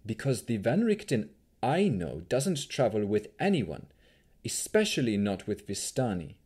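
A man's voice: fairly low pitch, wildly swooping pitch, a little slow, darkish tone, breathy edge, exaggerated voice. Man as a wary, firm adventuring woman. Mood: suspicious. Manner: firm, suspicious.

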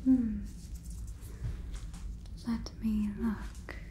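A woman's voice: a little high, fairly even intonation, extremely slow, mellow, reverberant, a little hiss or hum, poor audio. A young woman performing an ASMR roleplay as an awkward, formal alien examiner, speaking in stilted phrases close to the microphone. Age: young adult. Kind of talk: whispered ASMR roleplay, acted sitcom-like alien skit.

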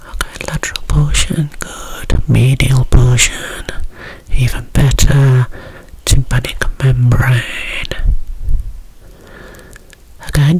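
Slow whispering man, ASMR doctor. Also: medium pitch, husky, low-quality recording. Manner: soft-spoken, slow, reassuring clinical bedside manner. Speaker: ASMR creator acting as a doctor. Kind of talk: whispered ASMR medical roleplay. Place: small indoor room.

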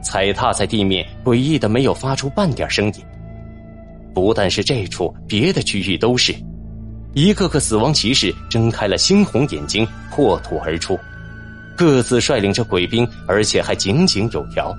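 A man with a fairly low voice narrates a fantasy audiobook. His delivery is dramatic and animated in pitch, with faint music underneath. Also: normal speed.

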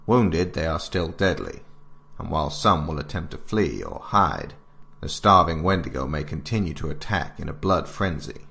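A man playing a stern military handbook narrator, reading scripted instructions in a grave, measured, formal and authoritative way. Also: extremely low pitch, sing-song, gravelly, pushed and bright, full character voice. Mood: grave, instructive. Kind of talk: scripted narration of an alternate-history field manual.

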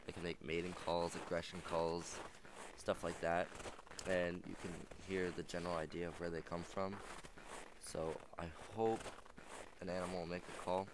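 Young male gaming host, low and slightly raspy, rambling casual delivery, static-laden home mic. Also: level tone, moderate pace. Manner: rambling, filler-heavy, chatty. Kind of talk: casual let's play commentary. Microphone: old, static-prone headset mic.

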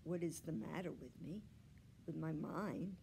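A woman voicing an earnest, fretful pet dog who tells his own story, in expressive, confiding storybook narration. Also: deep voice, unhurried, gravelly, a little hiss or hum. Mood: puzzled, worried.

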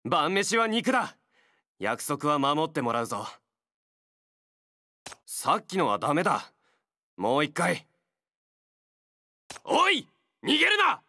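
A blunt, wary feral young fighter. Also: male voice, fairly high pitch, huge pitch swings, pushed and bright, full character voice. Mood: guarded, blunt, then alarmed, hostile. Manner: blunt, terse, halting speech. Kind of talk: Japanese game character voice acting.

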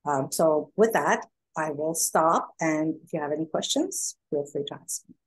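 A woman's voice: low voice, lively intonation, normal speed, a little hiss or hum, low-quality recording. A woman in her forties from Iran, a guest speaker explaining her business on a video call, earnest with many fillers. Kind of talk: presentation and interview answers. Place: home room.

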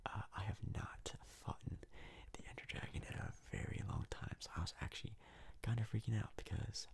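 A man whispers his way through ASMR game commentary in a low, very breathy and husky voice. Heavy gravelly fry runs through it. He rambles hesitantly, often doubling up on words. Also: normal speed. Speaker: gaming video host.

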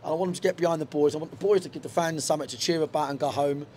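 A man's voice: on the high side, very fast, darkish tone, slight background noise. A middle-aged man, a football manager, talking frankly in a post-match interview in a stadium dugout, with lots of fillers and restarts. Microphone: handheld reporter mic.

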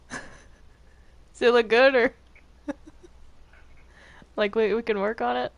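A woman's voice: on the high side, fairly even intonation, slow, creaky, no echo. A woman chatting casually while gaming with friends, playful and teasing, often repeating her words. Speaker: gamer in a group game session.

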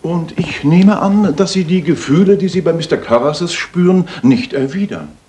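An actor playing a shrewd gentleman detective in a radio play, wry and inquisitive, with a husky voice. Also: fairly high pitch, normal speed. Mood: thoughtful, probing. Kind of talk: dramatic German radio play acting.

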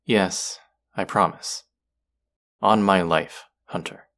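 Give the low, gravelly voice of a young male vampire, acted by a man in an intimate audio drama. He speaks earnestly, with a wry and protective streak. His deep register crackles with vocal fry. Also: slow pace, a bit bright, heavily acted. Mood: earnest pleading.

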